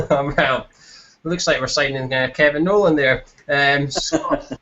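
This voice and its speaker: A man's voice: mid-range voice, a little slow, pushed and bright. A Scottish man casually hosting a football fan chat show in a self-deprecating, jokey way. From Scotland. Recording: dull lo-fi voice chat.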